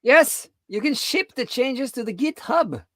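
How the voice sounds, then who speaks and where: A woman's voice: high-pitched, expressive, measured pace, no room sound, clean studio sound. A woman co-hosting in casual chat, giving short, informal replies and questions. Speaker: co-host reacting to the coding.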